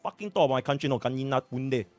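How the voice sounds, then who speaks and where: A man's voice: mid-range voice, rapid-fire, soft background music, lightly acted. A man voicing a brash, foul-mouthed friend with a strong Singaporean accent who rants in Singlish. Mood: mocking. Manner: shouty, insulting, clipped slang tags.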